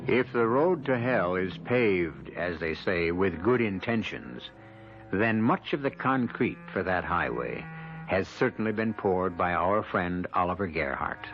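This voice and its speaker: A man narrating as the grave, wry host of a radio mystery series, with measured, ominous, literary phrasing and a gravelly voice. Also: sits low, expressive. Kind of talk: dramatic scripted radio narration. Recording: muffled vintage radio recording. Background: dramatic music.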